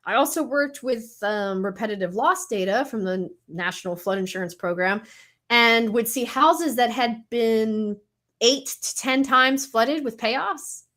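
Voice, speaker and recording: A woman in her thirties explains science in loose, candid conversation. She's animated and blunt, tossing in the odd curse and a laugh, heard over a remote call mic. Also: a little high, a little slow. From Mississippi Gulf Coast. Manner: frank and animated, swears casually, laughs often. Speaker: guest scientist. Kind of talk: casual interview chat.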